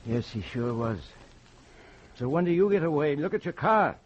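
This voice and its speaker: A man's voice: a little high, animated, normal speed, husky. An actor playing a fussy, folksy American railroad watchman in an old-time radio drama, plain-spoken and querulous. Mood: concerned, flustered. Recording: dull, muffled old radio recording.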